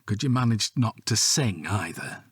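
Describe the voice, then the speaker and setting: A man playing a grumpy, dry-witted middle-aged British police detective in an audio drama, deadpan and sardonic. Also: on the low side, moderate pace, slightly rough, no room sound. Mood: irritated.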